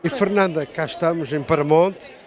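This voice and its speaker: A man's voice: fairly high pitch, moderate pace, faint music behind. A middle-aged man interviewing at a community festival, asking leading questions that usually end with a tag question. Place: outdoor festival.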